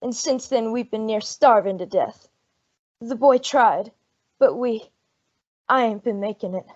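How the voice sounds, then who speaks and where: A woman acts out a humble, troubled farm mother in a radio western. Her voice is on the high side, with earnest, pleading, rustic speech. The recording sounds muffled. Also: measured pace, a little mellow. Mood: worried, pleading. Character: anxious, humble, poor frontier mother, recently widowed. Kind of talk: old-time radio western acting. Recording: dull lo-fi radio drama recording.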